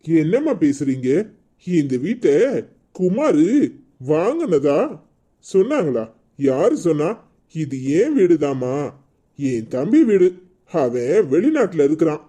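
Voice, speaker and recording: A man's voice: on the high side, sing-song, slow pace, pauses often, dark tone, full character voice. A man voicing a blunt, indignant older village man, scolding and exclaiming in a cartoon drama. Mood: matter-of-fact.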